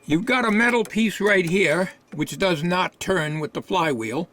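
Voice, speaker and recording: A man giving an informal, unscripted hands-on repair demonstration, thinking aloud in a halting way. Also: sits high, animated, a bit bright.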